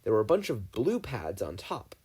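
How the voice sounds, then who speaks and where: A man voicing a brisk, commanding older male scientist in a fan fiction audio reading. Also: lowish voice, wildly swooping pitch, mellow, husky, heavily acted.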